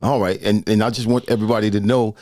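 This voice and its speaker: A man in his fifties hosting a casual studio interview, loud, playful and talkative. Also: on the low side, fairly even intonation, fast, no echo. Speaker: podcast host. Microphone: studio mic.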